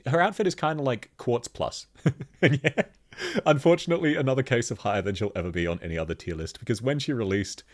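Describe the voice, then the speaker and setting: A man with a thick Australian accent, chatting casually as he reviews game outfits and laughs often. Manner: chatty, laughs often, lively pitch jumps. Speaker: gaming video host. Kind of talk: casual commentary.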